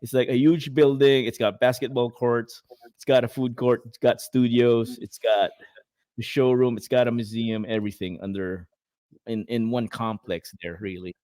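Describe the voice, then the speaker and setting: A man's voice: mid-range voice, fairly even intonation, normal speed, mellow, dry recording. Filipino-accented man chatting casually. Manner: rambling, filler-heavy, laughs while talking. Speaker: podcast co-host. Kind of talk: casual chat.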